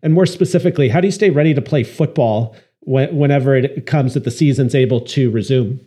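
A man hosting a medical podcast, reading a formal introduction and then interviewing a guest in a measured way. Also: medium pitch, dark tone, slightly rough. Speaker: podcast host, physician.

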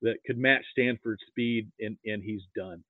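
An American man, middle-aged or older, reminiscing casually as an interview guest in a halting way, often repeating words. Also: on the low side, no room sound. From Nebraska, United States. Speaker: interview guest, football archivist. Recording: thin phone-like line.

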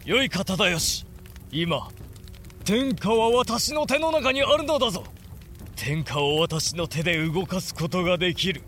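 An ambitious young samurai lord in a period radio play. His voice sits high for a man and carries defiant, declamatory fervor in archaic warrior phrasing. Music plays clearly behind him. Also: animated, unhurried, a bit bright. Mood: proud, defiant. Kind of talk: Japanese period audio drama acting.

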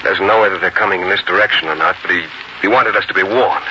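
A man playing a steady, protective young frontier farmer and husband, with earnest 1940s radio drama delivery. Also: deep voice, fast talker, highs cut off. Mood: firm, reassuring. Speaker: lead actor and first-person narrator. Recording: noisy band-limited 1940s radio recording.

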